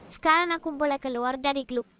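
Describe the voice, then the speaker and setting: A woman's voice: high-pitched, brisk, heavy background noise, close to an everyday voice. A woman voicing a small, naive, friendly moon-child creature in a preschool cartoon dub. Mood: worried, earnest.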